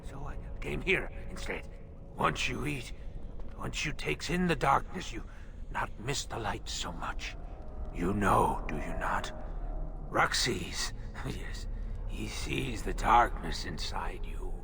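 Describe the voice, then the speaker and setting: An actor playing a half-mad, frightened scavenger hermit in a video game, stammering, muttering and repeating himself in broken speech. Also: male voice, on the low side, animated, slow pace, gravelly, noisy background, heavily acted. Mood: anguished, pleading, then sly, eerie. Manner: stammering, repetitive, muttering in broken third person. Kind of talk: video game character acting.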